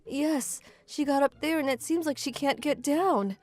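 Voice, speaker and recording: A woman's voice: sits high, unhurried, darkish tone, faint music behind. A woman playing a sweet, lovestruck teenage schoolgirl in a manga dub, speaking politely and earnestly. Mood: worried. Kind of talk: manga dub romcom acting.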